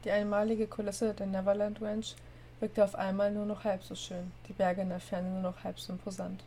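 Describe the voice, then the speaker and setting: A woman with a cold hosting a podcast and reading a story aloud in a steady, plain way. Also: mid-range voice, normal speed, slight background noise. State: has a cold. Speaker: narrator and host.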